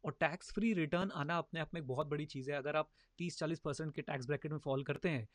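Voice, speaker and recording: A man's voice: a little high, fast, no room sound, studio quality. A man in his thirties explaining personal finance in casual, emphatic talk, mixing in English phrases. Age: 30s. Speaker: finance educator, podcast host.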